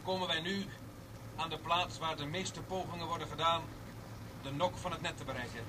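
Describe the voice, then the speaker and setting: An actor playing a brisk tour guide in a radio play, addressing visitors in formal announcing patter. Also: male voice, fairly high pitch, fairly even intonation, lightly acted. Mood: official, showman-like. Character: brisk male tour guide showing visitors a strange net. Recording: distant, noisy old radio recording.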